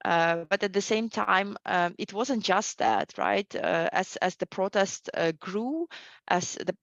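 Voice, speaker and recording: A woman's voice: mid-range voice, big pitch swings, slow pace, no echo, muffled recording. A middle-aged woman, a guest historian, answering interview questions with many fillers and restarts.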